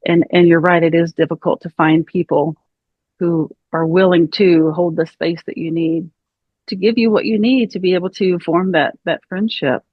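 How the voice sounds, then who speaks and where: A woman hosting a podcast who speaks in an earnest, reassuring and measured way. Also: lowish voice, steady tone, no room sound. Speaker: podcast host. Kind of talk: supportive interview talk. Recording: dry, dull lo-fi sound.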